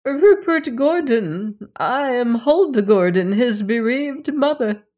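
A humble, grieving old mother from a storybook, played by a woman in a lifted, tender voice. She speaks slowly and sorrowfully. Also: on the high side, animated, voice pushed up.

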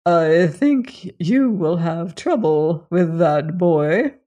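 A woman voicing a condescending, severe elderly society lady in an audiobook story, speaking with deliberate disapproval. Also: mid-range voice, big pitch swings, darkish tone, muffled recording, exaggerated voice.

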